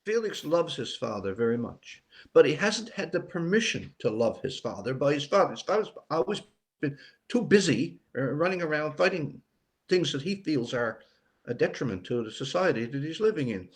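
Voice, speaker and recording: An older man from Newfoundland, an author chatting casually as an interview guest in a reflective, meandering way. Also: a little high. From Newfoundland, Canada. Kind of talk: casual interview talk about writing.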